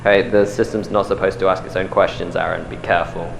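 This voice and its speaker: A man plays a wary lab colleague with a fairly low voice. He speaks informally, in the cautioning manner of someone worried about the rules. The audio drama scene has faint ambience behind him. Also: level tone, a little fast, vocal fry, slight background noise. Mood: anxious warning.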